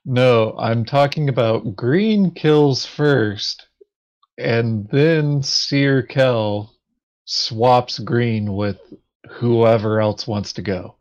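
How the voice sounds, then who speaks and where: A man playing a board game, chatting casually and thinking aloud in a halting way. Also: medium pitch, a little mellow, lo-fi sound. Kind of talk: casual tabletop game chat.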